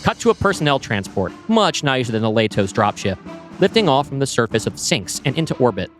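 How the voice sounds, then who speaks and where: A man's voice: mid-range voice, big pitch swings, a little fast, a bit bright, faint music behind. A man reading a screenplay's scene directions aloud, with a wry, descriptive manner. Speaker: podcast co-host.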